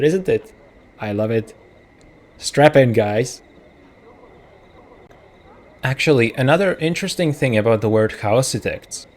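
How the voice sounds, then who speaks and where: A man with a Czech accent, a native Czech speaker, hosting a let's play in casual commentary, reading game text aloud and musing through self-corrections as he thinks aloud. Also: medium pitch.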